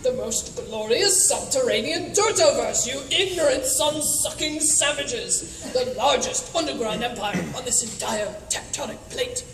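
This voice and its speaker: A woman's voice: high-pitched, expressive, unhurried, hardly any pauses. A woman playing a pompous, insulting queen of an underground empire in comedic radio theatre. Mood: imperious. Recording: echoey noisy hall, far from mic.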